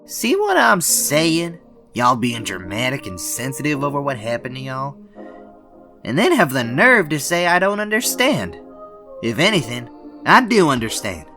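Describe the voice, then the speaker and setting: A man's voice: mid-range voice, huge pitch swings, unhurried, bright, forceful tone, roomy sound, in a large hall, faint music behind, full character voice. A man voicing an indignant young boy bunny in an amateur cartoon dub, with a folksy, sassy drawl. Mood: scolding. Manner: folksy, sassy, scolding drawl with y'all and darn. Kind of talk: scripted amateur comic dub dialogue.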